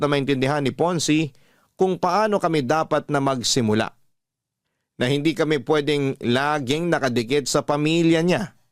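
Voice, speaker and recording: A man hosting a radio love-story program, reading a listener's letter aloud in a slow, measured, heartfelt way. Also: mid-range voice, no echo, studio quality. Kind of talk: emotional radio storytelling narration.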